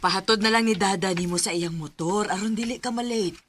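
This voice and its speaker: A woman's voice: medium pitch, a little fast, lightly acted. A woman playing a practical, reassuring mother in a radio drama, with a Filipino accent. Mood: agreeable.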